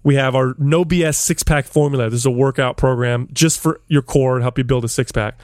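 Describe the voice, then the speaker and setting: A man co-hosting a podcast, loud, profane and joking in casual banter. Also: medium pitch, a little fast, no room sound, clean studio sound. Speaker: podcast co-host.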